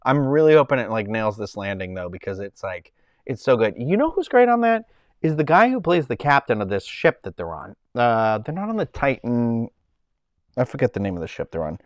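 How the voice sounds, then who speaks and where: A man's voice: mid-range voice, very animated, a little fast, dry recording, clean studio sound. A man with a Boston accent, hosting a casual livestream from home and chatting in a rambling way while he reads the chat. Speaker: livestream host.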